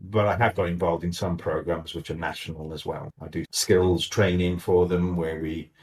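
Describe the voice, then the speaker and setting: An older man, a semi-retired mentor, explaining things in a measured, conversational way with frequent restarts. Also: low-pitched, steady tone, a little mellow. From Wales. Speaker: guest mentor. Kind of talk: relaxed conversational interview.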